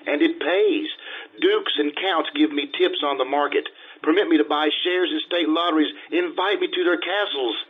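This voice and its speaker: A man plays a vain Italian social climber of forty in an old-time radio play. He talks like a fussy, self-important gentleman, with theatrical delivery and a slightly husky voice. The sound is dull and lo-fi. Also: on the high side, normal speed. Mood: boastful, confiding. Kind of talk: old-time radio drama acting with narration.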